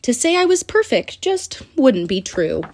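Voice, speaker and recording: A woman reading a rhyming children's picture book aloud in an animated, sing-song storytelling manner with deliberate pauses. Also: high-pitched.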